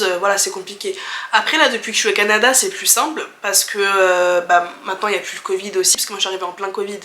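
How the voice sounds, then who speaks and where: Young French woman vlogger, twenties, bright tone, casual and candid Q&A delivery, roomy echo. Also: mid-range voice. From France. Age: 20s. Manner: candid, chatty, many fillers and restarts, laughs easily. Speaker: travel vlogger. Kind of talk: casual chat answering viewer questions.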